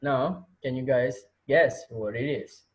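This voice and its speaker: Sinister teacher played by a man, taunting and theatrical, middling pitch, muffled amateur drama sound. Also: takes it slowly, close to an everyday voice. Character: sinister male teacher taunting captive students, mockingly playful. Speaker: actor in a student reader's theater.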